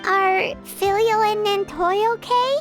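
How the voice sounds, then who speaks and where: A woman voicing a tiny, chirpy, curious fairy-like companion with a squeaky childlike voice in a video game. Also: steady tone, slow, over music. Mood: curious, friendly.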